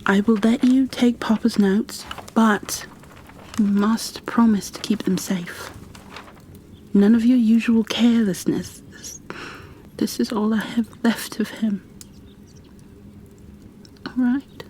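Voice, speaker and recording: A woman playing a gentle, protective creature bookkeeper in a soft-spoken ASMR roleplay, hushed, soothing and a little hesitant. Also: fairly high pitch, fairly even intonation, slow pace, long pauses, a little hiss or hum. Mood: stern, reluctant.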